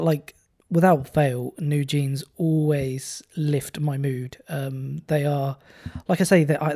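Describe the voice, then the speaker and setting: A radio DJ with a cold and a husky voice, chatting casually between songs and using a lot of "um" and "like". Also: mid-range voice, dark tone. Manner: chatty, with frequent "um" and "like" fillers. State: has a cold, sounds husky. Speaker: radio DJ presenting music.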